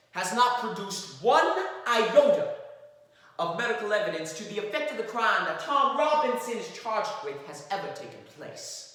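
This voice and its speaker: A man performs an impassioned male defense lawyer addressing a jury in a theatrical monologue. His forceful delivery is emphatic and sweeps widely in pitch, broken by dramatic pauses. It is heard from a distance in a very echoey hall. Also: exaggerated voice. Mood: indignant. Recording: very echoey hall, far from the mic.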